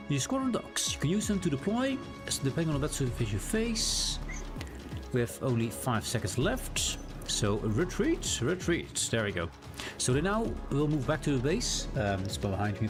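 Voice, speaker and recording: A Dutch man with a Dutch accent, talking through a game on a live stream, reading on-screen text aloud and thinking out loud with small restarts. His pitch swings up and down in a lively way, and clear game music plays behind his voice. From Netherlands. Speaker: gaming streamer. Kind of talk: live gameplay commentary.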